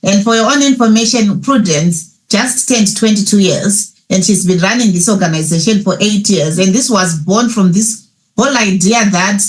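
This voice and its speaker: A woman's voice: mid-range voice, normal speed, poor audio. A woman hosting a fireside chat on a video call, introducing guests and affirming them with frequent "mm"s. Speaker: host, moderator.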